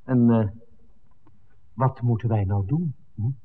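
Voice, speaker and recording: A man's voice: medium pitch, slow, husky, no echo. An actor playing a hesitant, thoughtful man torn between duty and affection in a 1960s Dutch radio drama. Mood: uneasy, hesitant. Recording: muffled, noisy old radio drama recording.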